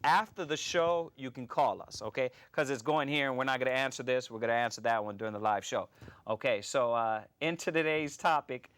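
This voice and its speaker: A man's voice: medium pitch, a little fast, fairly bright tone, clear, resonant tone, no room sound. A man hosting a live religious show from a small studio, talking loosely with many fillers and restarts. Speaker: host.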